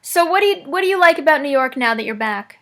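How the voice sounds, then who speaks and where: A woman with a high, bright voice runs a prank phone call. She keeps a straight face and teases the person on the other end. Her voice is a little higher than most women's and ringing. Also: expressive, quick pace. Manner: mock-serious, teasing. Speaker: prank caller.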